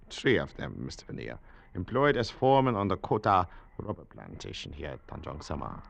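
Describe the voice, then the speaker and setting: An actor playing an urbane, wry colonial district official with a deliberate, formally polite manner, in old radio drama style. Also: male voice, low-pitched, huge pitch swings, creaky, heavily acted. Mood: wry, amused. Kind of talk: 1940s-50s radio drama acting.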